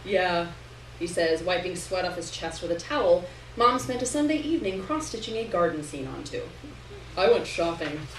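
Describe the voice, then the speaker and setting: A woman giving an expressive, dramatic first-person reading of a story at a live literary event. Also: on the low side, brisk. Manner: dramatic delivery, acting out dialogue. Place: indoor room at a live reading. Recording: roomy, distant live recording with noise.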